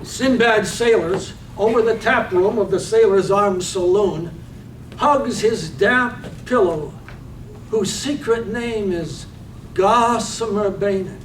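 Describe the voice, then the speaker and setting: A woman gives a slow, deliberate poetic narration for a staged play. Her voice is medium in pitch. The recording is distant and echoey, with faint noise behind. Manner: deliberate, phrase by phrase.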